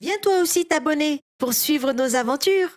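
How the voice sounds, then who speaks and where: A woman's voice: high voice, lively intonation, normal speed, over loud music. A woman voicing a kind, caring young boy train in a children's cartoon, earnest and friendly. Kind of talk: children's cartoon dub acting.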